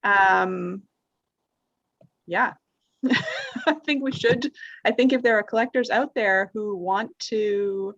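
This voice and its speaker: A woman moderates an online roundtable, her voice gravelly with fry. The call audio is dry and dull, lo-fi. She reads out audience questions in a friendly way. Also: mid-range voice, takes it slowly, pauses often, a bit bright, dry recording. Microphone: video call.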